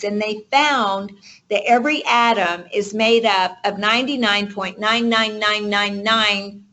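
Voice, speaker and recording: A woman's voice: mid-range voice, fairly bright tone. A woman giving motivational coaching to a group on a conference call, emphatic and checking in with rhetorical questions. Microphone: conference call line.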